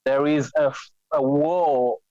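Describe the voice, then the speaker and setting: A man's voice: fairly high pitch, steady tone, slow pace, dark tone, smooth. A man from Congo with a Congolese accent, calling in to a talk show and speaking hesitantly with many fillers and restarts. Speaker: caller. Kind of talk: phone-in call. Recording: dull lo-fi phone-in audio.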